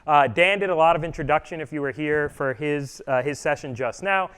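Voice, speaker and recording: A middle-aged man presenting at a conference session, speaking loudly and plainly to a live audience, with frequent "uh" fillers. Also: fairly high pitch, brisk, smooth. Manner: loud, projecting voice. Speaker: presenter, customer education trainer. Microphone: room mic or none, speaking to live audience.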